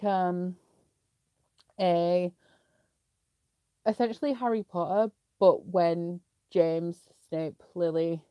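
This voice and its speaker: A young British woman answers viewer questions in a relaxed, chatty ramble full of "like" fillers. Her voice sits a little low and sounds mellow and soft, with a touch of sniffliness from a cold. She is recorded close up in a dry, dead room. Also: no echo. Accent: British English. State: sniffly with a cough. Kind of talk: casual live Q&A chat.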